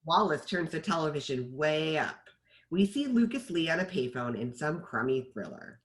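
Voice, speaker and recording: A man's voice: a little high, sing-song, normal speed, a bit bright. A man narrating scripted stage directions as the narrator of a table read.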